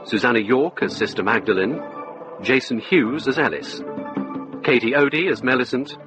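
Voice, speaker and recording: A man announces a radio drama in a measured, scripted read. His pitch is mid-range, and music plays clearly behind him.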